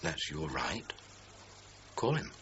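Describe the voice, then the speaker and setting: An actor playing an impatient British chief inspector in a radio crime drama, curt and sardonic. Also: male voice, a bass voice, big pitch swings, slow, gravelly, full character voice. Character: gruff, impatient male police chief inspector. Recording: dull, hissy radio drama recording.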